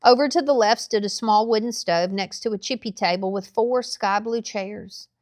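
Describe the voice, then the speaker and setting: A woman's voice: mid-range voice, normal speed, no room sound. Woman reading a storybook, close and dry. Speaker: narrator. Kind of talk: storybook read-aloud, scripted narration.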